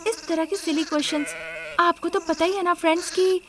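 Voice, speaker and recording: A spoiled young wife from a rich house, acted out by a woman narrator, speaks in a high, girlish voice. She is sly and full of complaint, in the manner of a moral-story cartoon. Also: steady tone, a little fast, crowd noise. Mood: sly, complaining.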